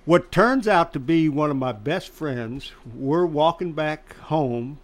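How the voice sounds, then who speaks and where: An older man from Chicago telling baseball stories in casual conversation, animated and laughing as he goes. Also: fairly high pitch, takes it slowly. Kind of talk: casual storytelling.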